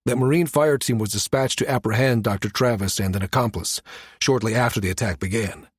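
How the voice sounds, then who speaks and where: A man plays a firm station security chief in a science fiction audiobook. He speaks with measured, matter-of-fact authority at a middle male pitch. The recording is very dry. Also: breathy edge, no room sound, lightly acted. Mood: grave. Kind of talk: audiobook character dialogue.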